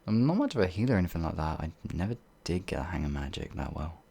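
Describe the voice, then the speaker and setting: A gentle, bumbling werewolf played by a man in an ASMR audio roleplay. His voice is very deep, with a gravelly crackle and a husky breathiness. He speaks timidly and haltingly, often sinking toward a whisper. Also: big pitch swings, full character voice. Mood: meek, resigned. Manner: hesitant, timid, halting, with sighs and trailing phrases. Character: shy, gentle, bumbling pacifist male werewolf, older than he looks.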